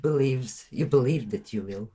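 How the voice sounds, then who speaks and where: Soft-spoken husky interviewer. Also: medium pitch, normal speed. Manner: brief, prompting questions. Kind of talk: interview questions.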